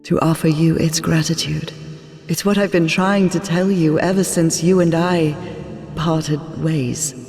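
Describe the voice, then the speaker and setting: An actress playing a loving, serene woman from beyond time in a story game, speaking intimately and with gentle, measured reassurance. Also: low-pitched, fairly even intonation. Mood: gently explaining. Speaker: character and narrator. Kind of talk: cinematic game voice acting. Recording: long reverb, music underneath.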